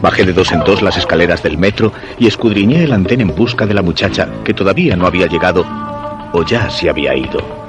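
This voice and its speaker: Casting: obsessive, lovesick male diarist, Spain accent, low voice, measured radio-play narration with music underneath. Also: expressive. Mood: brooding. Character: lovesick, obsessive office worker narrating his diary. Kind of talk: dramatic first-person radio narration.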